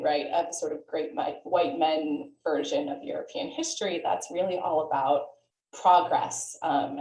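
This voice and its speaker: Historian woman in her 30s, lowish voice, animated, echoey room on a call. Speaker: historian on a panel. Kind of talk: webinar panel remarks. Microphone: video call mic.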